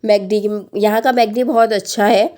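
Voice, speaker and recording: Woman in her thirties, family vlogger, sore husky throat, middle-pitched voice, chatty narration that pauses a lot while reading off prices. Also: steady tone, mellow. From Lucknow, India. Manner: chatty, lists prices with frequent hesitant pauses. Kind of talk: voice-over narration of a family outing.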